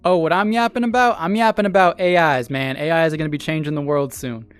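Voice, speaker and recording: A man's voice: on the high side, fairly even intonation, fast, clear, resonant tone. A man hosting a casual livestream, chatting with viewers and thanking new followers. He laughs often, repeats himself, and has a voice he says is made for radio and sales. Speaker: streamer and podcast host. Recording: dry close mic with faint music behind.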